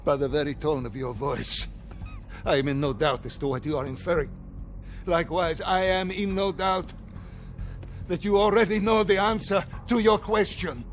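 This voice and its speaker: A man acts out a detained psychotherapist in a game cutscene. His voice sits a little high and his wording is formal and precise, defensive and indignant, with music underneath. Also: fairly even intonation, moderate pace, lightly acted. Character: defensive, formal male psychotherapist held for interrogation. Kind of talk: video game cutscene acting. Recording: music behind.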